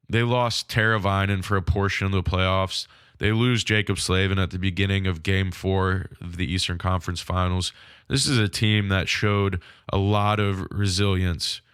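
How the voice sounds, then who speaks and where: A man hosting a sports podcast on his own, sounding nasal from a cold, talking through a game in a frank, measured way. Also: lowish voice, a bit bright, smooth, no room sound, studio quality. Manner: frank, measured hockey analysis. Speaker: podcast host. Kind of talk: solo sports podcast recap.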